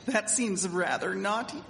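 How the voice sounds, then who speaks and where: A prim, exclamatory 1870s townswoman played by a woman in a period radio drama. Her voice is middle in pitch, with long hall reverb and slight background noise. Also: lively intonation, takes it slowly, fairly bright tone. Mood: admiring.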